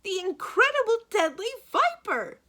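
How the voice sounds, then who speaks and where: A woman voicing a panicked, babbling male banker in a storybook read-aloud, shrill and exclamatory. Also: sing-song, bright, forceful tone, heavily acted. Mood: frantic alarm. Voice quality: shrill, high-pitched. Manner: exclamatory, rapid-fire babbling.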